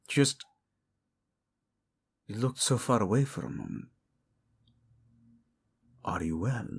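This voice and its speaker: A man's voice: lowish voice, breathy edge, heavily acted. A man acting a passionate, protective male royal in a romantic audio drama, devoted to his queen, intimate and commanding, using Spanish endearments. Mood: worried. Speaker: voice actor. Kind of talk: romantic audio roleplay drama addressed to the listener.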